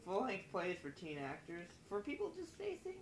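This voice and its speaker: High-pitched excitable male podcaster. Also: moderate pace. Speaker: podcast co-host. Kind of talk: casual banter. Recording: faintly noisy room podcast.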